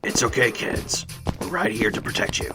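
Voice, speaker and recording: A man's voice: mid-range voice, animated, moderate pace, lightly acted. A man playing a steadfast, reassuring veteran judge in a sci-fi audio drama. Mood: stoic warmth. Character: steadfast veteran chief judge, protective of youngsters. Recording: voice under loud music.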